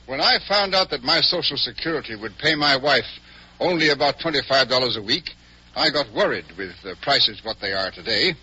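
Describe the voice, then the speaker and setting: A man's voice: medium pitch, expressive, brisk, pushed and bright, slightly rough, full character voice. An American man playing an earnest family man giving a scripted testimonial in a 1940s radio ad. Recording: dull, muffled vintage radio recording.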